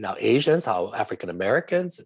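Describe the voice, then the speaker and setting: A man's voice: medium pitch, big pitch swings, rapid-fire, breathy edge, dry recording. A middle-aged man from Hawaii, a podcast guest, explaining his views at length in an earnest, conversational way. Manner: earnest, explanatory, speaks in long flowing runs. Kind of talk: conversational interview.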